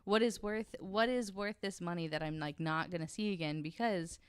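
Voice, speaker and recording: Female podcast guest, slightly low and clear, close dry sound, candid and unfiltered. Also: moderate pace, no room sound. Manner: blunt, sweary. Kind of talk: casual podcast chat.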